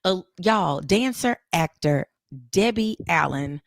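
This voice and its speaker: A woman co-hosting a casual morning talk show, chatting in a folksy, animated way. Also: lowish voice, slow, gravelly, no room sound, studio quality. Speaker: co-host.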